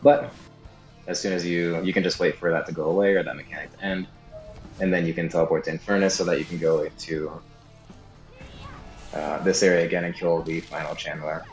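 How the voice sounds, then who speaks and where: A man's voice: deep voice, fairly even intonation, a little fast, long pauses. A man giving a casual, unscripted video game walkthrough, explaining as he goes and often unsure of details. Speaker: guide narrator. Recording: slightly echoey room, game music underneath. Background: game music.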